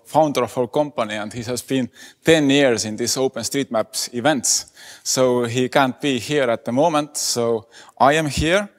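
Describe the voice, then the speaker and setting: Man giving a halting talk. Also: mid-range voice. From Estonia. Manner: hesitant, many fillers. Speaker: conference speaker. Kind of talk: lightning talk presentation. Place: conference hall. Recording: echoey conference hall.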